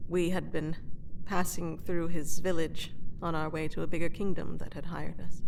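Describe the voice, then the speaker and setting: Slow-spoken, proud captive queen played by a woman. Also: fairly low pitch, a little mellow, slight background noise, low-quality recording. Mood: reluctant, wistful. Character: captured proud fantasy queen, sardonic former mercenary, under interrogation. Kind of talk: scripted audio roleplay drama.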